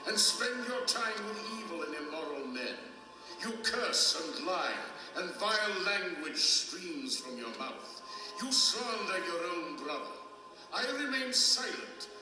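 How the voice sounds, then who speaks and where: A man's voice: fairly high pitch, distant mic. An actor playing a majestic, stern king who passes judgment in solemn, declamatory, formal speech in an audio drama. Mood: wrathful, commanding. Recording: echoey hall reverb, music behind.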